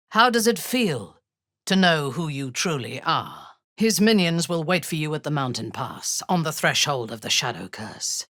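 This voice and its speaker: A proud, imperious female dark elf war leader for a fantasy video game. Her voice is breathy and husky, recorded close and dry. She speaks slowly and formally, with wide, expressive swings of pitch and cold disdain. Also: no room sound, full character voice. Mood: haughty, stern. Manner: measured, haughty, formal diction. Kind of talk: video game character dialogue.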